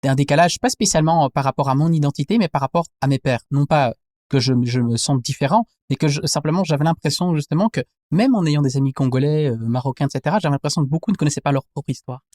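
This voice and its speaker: A man's voice: fairly high pitch, fast talker, a little mellow, dry recording, studio quality. A man, reflecting thoughtfully in a conversational interview and rephrasing as he goes. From Belgium. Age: 20s. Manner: thoughtful, searching, reformulates as he goes. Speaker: interview guest, anthropologist.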